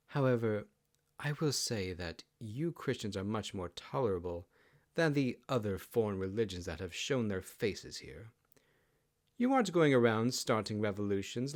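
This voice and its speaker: A proud, imperious kitsune queen, read by a man with a fairly low voice. She speaks formally and haughtily, a huffy, greedy royal from a fantasy audiobook.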